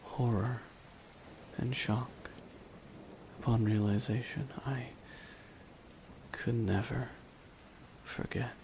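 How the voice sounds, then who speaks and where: Man acting a brooding supervillain, very slow delivery, hushed and deliberate, long pauses, dramatic ASMR monologue, rain in the background. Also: medium pitch. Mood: wistful, grave. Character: brooding, remorseless male villain at his dying nemesis's side. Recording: steady rain noise behind the voice. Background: rain falling on a surface.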